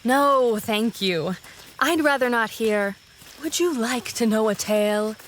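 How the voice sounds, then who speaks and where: A woman's voice: on the high side, takes it slowly, a little hiss or hum. A woman playing a wry, casually grumbling forest guard in a fantasy audio drama.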